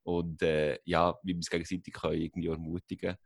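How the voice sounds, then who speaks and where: Low gravelly Swiss German young man. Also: flat delivery, fast, no echo, clean studio sound. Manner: hesitant, many fillers and restarts. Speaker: student abroad. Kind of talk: casual group call. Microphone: video call.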